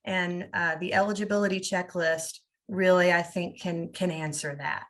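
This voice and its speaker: A woman's voice: on the low side, fairly even intonation, moderate pace. A woman hosting an online scholarship webinar, explaining the process in a friendly, informal way with frequent fillers and restarts.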